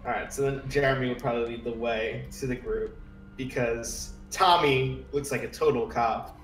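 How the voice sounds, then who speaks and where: A man chatting casually as a player in a tabletop roleplay game, a little halting. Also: medium pitch, fairly even intonation, fairly bright tone. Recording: roomy echo, faint music behind.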